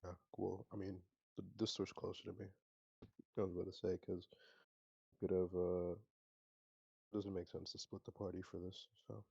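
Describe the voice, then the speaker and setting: A man playing a tabletop game, chatting casually and thinking aloud haltingly, on slightly muffled audio. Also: sits low, little pitch movement, vocal fry, mellow, slightly rough, no echo.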